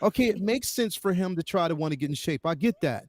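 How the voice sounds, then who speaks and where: A man's voice: sits high, expressive, fast, darkish tone. A man co-hosting a casual film discussion, giving opinions emphatically and repeating his points for stress. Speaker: co-host.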